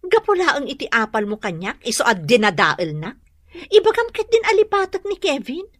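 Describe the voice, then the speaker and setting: A woman's voice: high voice, wildly swooping pitch, moderate pace, fairly bright tone, dry recording, studio quality, heavily acted. An actress playing a brazen, hot-tempered woman debtor in a radio drama, with a combative, taunting way of talking. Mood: dismissive, hostile.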